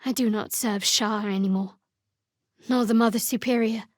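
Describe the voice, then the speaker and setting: A young woman cleric from a fantasy game, acted by a woman, with a fairly high voice in a very dry, close recording. She is guarded and sardonic, choosing clipped, dry phrasing as an adventurer who keeps her dark faith to herself. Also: fairly even intonation, moderate pace, no room sound, lightly acted. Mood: grave, measured. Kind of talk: dramatic fantasy video game dialogue.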